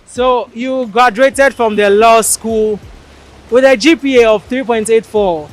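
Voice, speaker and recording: Upbeat high-voiced man hosting. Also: steady tone, a little slow. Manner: upbeat, promotional, asks follow-up questions. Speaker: host. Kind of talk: interview show hosting.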